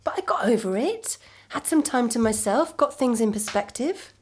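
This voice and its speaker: An actress playing a calm, resigned ex-girlfriend in a naturalistic audio drama, measured, dry and matter-of-fact. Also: on the high side, breathy edge. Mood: frank, tender.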